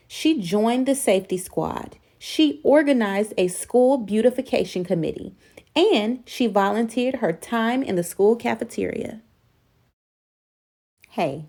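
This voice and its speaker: A woman reading a picture book aloud as an expressive, deliberate storyteller for young listeners. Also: medium pitch. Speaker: children's story reader.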